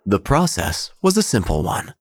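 Deadpan low-voiced male storyteller. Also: moderate pace, dry recording. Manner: deadpan, dryly absurd storytelling. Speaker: narrator. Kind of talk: scripted first-person horror narration.

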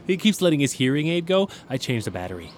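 A man's voice: fairly high pitch, sing-song, fast talker, a little hiss or hum. A man playing an earnest, awkward, squeamish meal delivery helper in a comedic audio drama, hesitant and literal-minded. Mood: earnest, rambling.

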